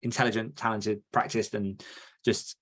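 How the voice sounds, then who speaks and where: A man with a fairly low voice hosts a podcast. He talks informally and rambles with enthusiasm, keeping it loose and conversational. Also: little pitch movement, moderate pace, fairly bright tone. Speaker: podcast host. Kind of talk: casual podcast chat.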